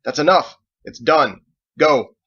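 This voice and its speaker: A man's voice: on the high side, slow, sharp tone, breathy edge, voice pushed up, exaggerated voice. Stern young knight of the church, played by a male reader, irritable, emphatic storybook scolding, dull lo-fi sound. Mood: stern, angry.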